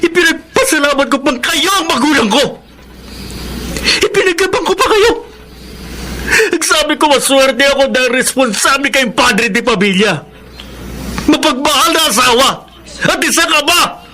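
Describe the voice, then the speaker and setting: A man's voice: very high-pitched, animated, moderate pace, a little hiss or hum, full character voice. An actor with a Filipino accent playing an enraged, heartbroken young son reproaching his father in a radio drama. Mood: bitter, hurt. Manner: shouting, anguished, reproachful.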